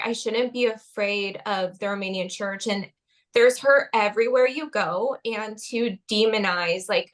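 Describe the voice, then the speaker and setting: A young adult woman speaking as a podcast guest in a casual interview, earnest and heartfelt, with frequent "um" and "like". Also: mid-range voice.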